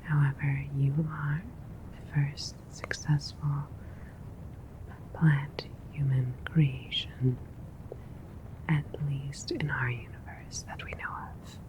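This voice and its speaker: Female performer for a gentle alien doctor admiring a creation, low-pitched whisper, clinical and soothing, ASMR roleplay. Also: flat delivery, slow, long pauses, low-quality recording. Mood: proud, calm.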